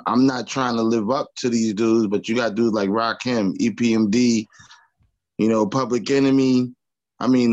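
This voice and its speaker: A man's voice: mid-range voice, a little mellow. A man from Long Island, talking loosely as a rapper guest in an interview, full of casual fillers. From Long Island, New York. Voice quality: raspy. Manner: loose and chatty, full of "you know what I mean" fillers. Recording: dull lo-fi sound.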